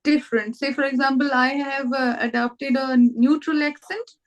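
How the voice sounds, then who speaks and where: A woman's voice: sits high, fairly even intonation, normal speed. A woman from India with an Indian English accent, teaching a language lesson over a video call and patiently repeating words for her student. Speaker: language tutor.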